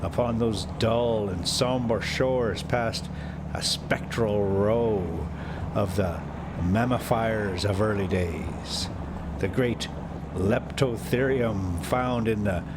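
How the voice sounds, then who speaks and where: A man reading a storybook aloud with folksy, self-mocking asides, halting over the hard words. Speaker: story reader and host. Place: inside a moving diesel motorhome on a city drive. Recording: clear vehicle rumble behind voice. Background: motorhome engine and road noise.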